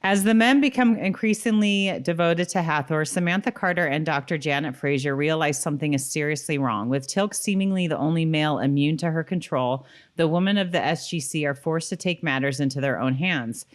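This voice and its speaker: A woman's voice: low-pitched, a little fast, hardly any pauses. A woman talking as a podcast co-host about television. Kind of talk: casual conversational TV episode discussion.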